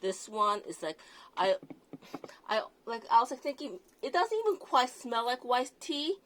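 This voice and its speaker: A woman's voice: on the high side, big pitch swings, unhurried, fairly bright tone, slight background noise. A woman with a non-native accent reviewing perfume in a casual, hesitant way, full of fillers and restarts. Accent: non-native English accent. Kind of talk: casual product review vlog.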